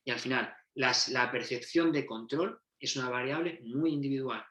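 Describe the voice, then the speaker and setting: A man's voice: medium pitch, moderate pace, bright, forceful tone. A man, a football coach giving a methodology talk, explaining step by step and often correcting himself mid-sentence. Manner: explanatory, restarts and self-corrections. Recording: roomy echo.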